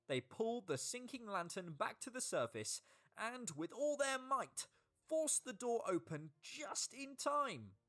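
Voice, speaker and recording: Slow, animated woman storyteller. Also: on the low side. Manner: expressive, dramatic. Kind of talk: scripted children's storybook narration. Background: music.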